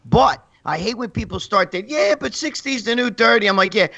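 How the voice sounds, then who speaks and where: Brief: late-50s New guy, bright edgy voice, cursing bluntly, muffled lo-fi sound. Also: sits high, animated, brisk, vocal fry. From New York. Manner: blunt, profane storytelling. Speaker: podcast guest.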